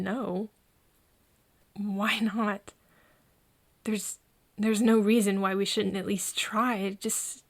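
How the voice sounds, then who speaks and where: A woman playing a frightened but stubborn human confiding in a vampire friend in an intimate ASMR audio drama, with a husky, breathy voice and hesitant delivery. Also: medium pitch. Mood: insistent, teasing. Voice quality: breathy, husky, often dropping to a whisper. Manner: hesitant, halting, stammering over words.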